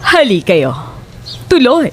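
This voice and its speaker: Woman acting a slow, eerie older landlady. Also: fairly high pitch, huge pitch swings, slight background noise, full character voice. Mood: eerily welcoming.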